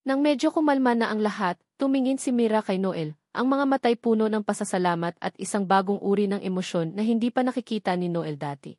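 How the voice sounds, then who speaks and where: Audiobook narrator: woman, medium pitch, scripted dramatic romance delivery, very dry sound. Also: a little fast, hardly any pauses, a little mellow, no room sound.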